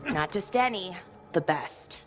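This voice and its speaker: An actress playing an eager young noblewoman serving as a soldier in a video game, speaking briskly and earnestly. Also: lowish voice, animated. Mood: intent. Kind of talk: video game dialogue acting. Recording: music behind the voice.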